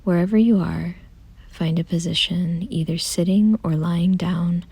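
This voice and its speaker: Breathy, hushed woman guiding meditation. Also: lowish voice, dry recording, studio quality. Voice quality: soft, breathy, often near a whisper. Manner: gentle, calm, unhurried instructions with pauses. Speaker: meditation guide. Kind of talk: soothing guided meditation, scripted.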